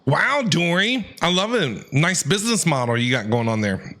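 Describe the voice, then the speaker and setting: A man's voice: a little high, big pitch swings, normal speed, pushed and bright. A man livestreaming casual game commentary, playfully talking back to the characters in slangy speech.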